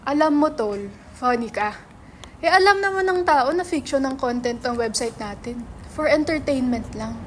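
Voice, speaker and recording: A woman's voice: high-pitched, lively intonation, normal speed, slight background noise. A woman with a Filipino accent acting a brash, sarcastic, money-minded young entrepreneur in a dramatic reading, mixing English into Tagalog. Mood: dismissive, teasing. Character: brash, money-minded male website entrepreneur, teasing his friend. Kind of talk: dramatic reading of a stage script.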